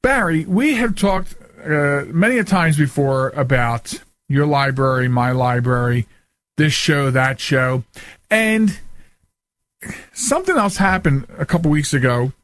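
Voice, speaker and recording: A man's voice: a little high, lively intonation, unhurried, a bit bright, no echo. An American man hosting a radio talk show in a casual, chatty, folksy and joking way.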